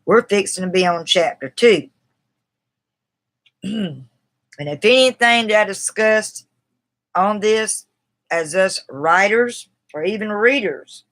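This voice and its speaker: A woman with a Southern drawl talks loosely and off the cuff, her voice bright and clear. She rambles a bit and breaks off to greet viewers by name in an easygoing livestream chat. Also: medium pitch. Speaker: writer discussing a book on a livestream. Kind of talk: casual livestream talk. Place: outdoors.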